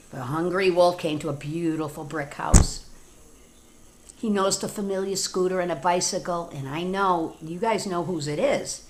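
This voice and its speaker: Animated American woman reading to children. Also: lowish voice, slight background noise. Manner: animated, informal, talks directly to young children. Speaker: teacher reading stories to children. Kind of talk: read-aloud storytelling with chatty asides.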